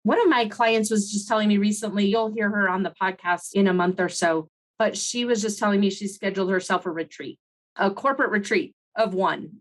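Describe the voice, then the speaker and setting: A woman's voice: medium pitch, a little fast, poor audio. A middle-aged woman hosting a solo podcast, talking to listeners in a conversational, encouraging way, her voice just back after laryngitis. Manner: conversational, encouraging, frequent "right?" asides. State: recovering from laryngitis. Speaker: podcast host and consultant coach. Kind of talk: solo podcast teaching talk.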